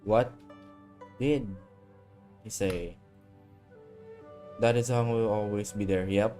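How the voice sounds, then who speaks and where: A man with a fairly low voice streams a video game. He comments casually and reacts to the story, with faint game music behind him. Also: steady tone, slow, mellow. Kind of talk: casual livestream commentary, reacting and reading along.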